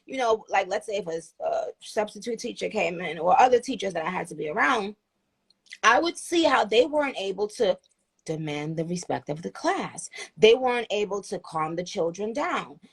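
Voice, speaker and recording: Husky, gravelly woman chatting earnestly. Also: medium pitch, animated, normal speed. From Trinidad. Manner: earnest, grateful, rambling with restarts. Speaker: livestream host. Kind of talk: casual chat on a livestream.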